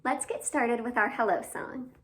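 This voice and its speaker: A woman's voice: a little high, normal speed. A woman hosting storytime for young children, reading aloud expressively with exclamations and questions to her listeners. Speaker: children's storytime host at a library. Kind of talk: animated read-aloud for young children with sung greeting.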